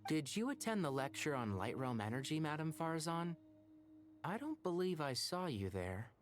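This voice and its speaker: A man voicing a calm, thoughtful young scholar in a video game, speaking in a serious, explanatory way. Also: a little high, moderate pace. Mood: polite.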